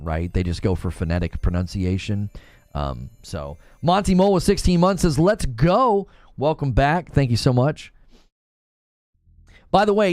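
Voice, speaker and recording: A man's voice: medium pitch, a little mellow, dry recording. A young man hosting a live stream, chatting loosely about games and reading chat, with many fillers and restarts. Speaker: streamer host.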